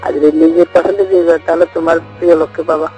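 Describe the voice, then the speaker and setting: A man's voice: fairly high pitch, flat delivery, very fast, mellow, breathy edge. A man with a Bangladeshi Bengali accent chatting casually and intimately on a recorded phone call. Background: music.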